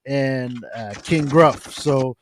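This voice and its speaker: A man on a podcast as a guest, talking calmly and upbeat about positivity and community with a soothing voice. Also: medium pitch, extremely slow, a little hiss or hum. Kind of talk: casual chat.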